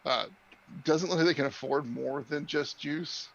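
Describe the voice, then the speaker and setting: A man's voice: fairly high pitch, steady tone, moderate pace, creaky, dull audio. A man acting as game master, improvising narration and chatting with players at a tabletop game.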